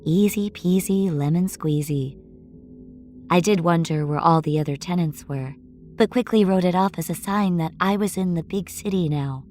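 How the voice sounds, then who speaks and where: A woman's voice: fairly low pitch, darkish tone, natural voice. A woman performing a wry, conversational young newcomer to the city, narrating first-person horror audio fiction. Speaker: story narrator.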